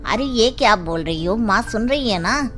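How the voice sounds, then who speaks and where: A woman voices a selfish younger son in a storybook tale. The voice is high, offhand and grumbling, with music behind. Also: animated, normal speed, a bit bright. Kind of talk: storybook character acting.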